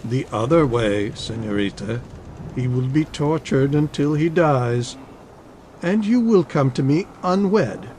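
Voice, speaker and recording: Man acting a smooth, menacing villainous captain. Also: medium pitch, lively intonation, slow, a little mellow, slight background noise. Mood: coldly coercive. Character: scheming, coercive male army captain, a suave villain. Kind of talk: dramatic audiobook reading. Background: ambience under the voice.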